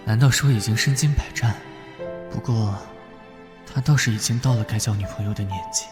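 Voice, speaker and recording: A man playing an earnest police detective who gets flustered and jealous in private, in an animated drama. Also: lowish voice, a little fast, lightly acted. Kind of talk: animated drama voice acting with inner monologue. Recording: loud background music under the voice.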